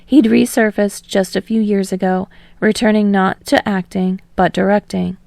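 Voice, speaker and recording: A woman's voice: mid-range voice, fairly even intonation, normal speed, vocal fry, darkish tone, dry recording. A woman reading fiction aloud as an audiobook narrator in a scripted storytelling style.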